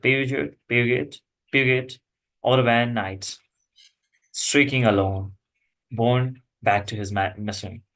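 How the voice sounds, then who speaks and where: A man reading screenplay stage directions aloud in halting, fragmented phrases. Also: mid-range voice, a bit bright, low-quality recording. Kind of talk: script read-aloud.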